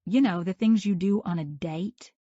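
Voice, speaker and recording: A man's voice: sits high, normal speed, warm tone, no echo, highs cut off, pitched above the performer's own voice. A man putting on a sassy teenage girl.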